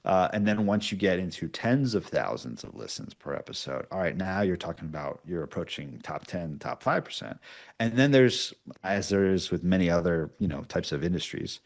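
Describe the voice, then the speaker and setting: Deep-voiced man explaining things casually. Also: animated, normal speed, creaky. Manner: explanatory, peppers speech with checking tags like "right?". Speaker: podcast producer, interview guest. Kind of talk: casual interview answers.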